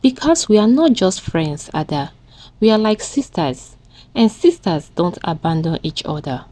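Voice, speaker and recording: Woman acting earnest young woman, very animated. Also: on the low side, measured pace. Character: loyal, trusting young woman, wronged best friend.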